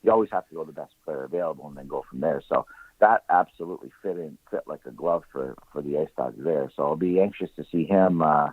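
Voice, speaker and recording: A man with a very deep, husky voice talks as a sports guest over a narrow, phone-like line. He analyses conversationally, repeating phrases for emphasis, and the sound is dry and close. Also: fast, dry recording. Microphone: phone call-in. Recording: muffled narrow-band phone call, radio-like.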